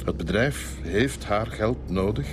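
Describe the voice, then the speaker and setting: An actor playing a middle-aged Flemish brewery owner in a radio drama, expansive, frank and confiding. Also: male voice, fairly low pitch, slow, music in the background. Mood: wistful, bitter. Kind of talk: Dutch-language radio drama acting.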